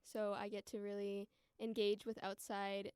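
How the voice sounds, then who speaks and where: Podcast guest, female, about 20, American. Crisp, dry close sound, chatty and informal delivery. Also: mid-range voice, completely flat delivery, unhurried, darkish tone, dry recording. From United States. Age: around 20. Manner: informal, frequent "like" and "you know". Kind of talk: casual conversational chat and storytelling.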